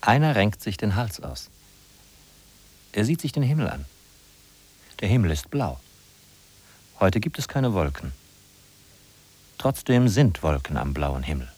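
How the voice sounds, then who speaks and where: An actor playing a sardonic narrator in a 1950s German radio play, ironic, declamatory and emphatic. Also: male voice, on the low side, big pitch swings, a little slow, long pauses, darkish tone, exaggerated voice, rough recording. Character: sardonic male narrator of a bleak big-city inventory. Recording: husky-sounding 1950s radio recording from cassette.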